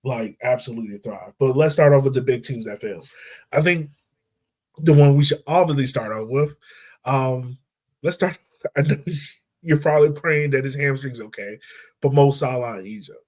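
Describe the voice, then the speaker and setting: A man hosting a podcast, chatting about football in a relaxed, slangy way, full of "like". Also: medium pitch, normal speed. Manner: relaxed, slangy, repeats phrases with "like". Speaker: podcast host. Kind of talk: casual sports chat.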